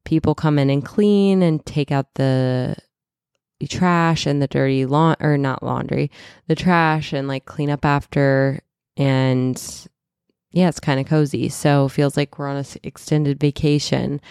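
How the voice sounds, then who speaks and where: Stuffy, slightly raspy woman in her thirties. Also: low-pitched, darkish tone, dry recording, clean studio sound. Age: 30s. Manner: chatty, many "like"s and self-corrections. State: stuffy and a little raspy from a cold. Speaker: podcast host and wellness coach. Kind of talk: casual solo podcast chat.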